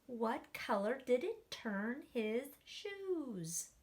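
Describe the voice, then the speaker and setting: A woman teacher reading a picture book aloud to children, animated, with gasps and questions to the kids. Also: a little high, extremely slow, breathy edge. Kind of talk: children's story read-aloud.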